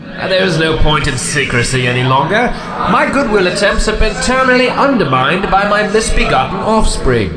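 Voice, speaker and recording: An actor playing a haughty, sardonic demon lord in a video game, with pompous, florid formal diction and a sneering manner. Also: male voice, sits high, big pitch swings, moderate pace, a loud crowd. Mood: cold disdain. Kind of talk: theatrical video game villain acting. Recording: roomy echo, noisy ambient background.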